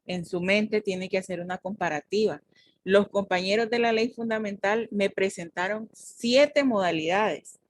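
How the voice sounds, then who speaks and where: Una mujer hondureña dando clase en la universidad, con voz de altura media. Aclara conceptos con paso firme y retoma lo que dijeron sus estudiantes para precisarlo. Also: female voice, medium pitch, slight background noise. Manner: explanatory, corrects and clarifies. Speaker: teacher. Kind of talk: university class discussion.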